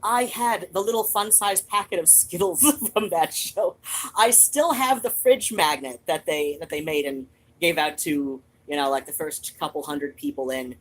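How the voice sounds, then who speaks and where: Low-pitched woman, rambling casually. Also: normal speed. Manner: animated, digressive, swears freely. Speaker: host of a reading and chat show. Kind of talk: rambling casual personal chat.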